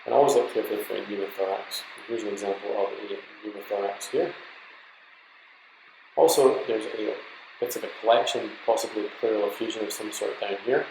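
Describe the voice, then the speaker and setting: Guy teaching junior docs off slides, croaky fry in his voice, informal explainer, echoey room w some background noise. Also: on the low side, fairly even intonation, fairly quick. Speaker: medical lecturer. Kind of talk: slide lecture to trainee doctors.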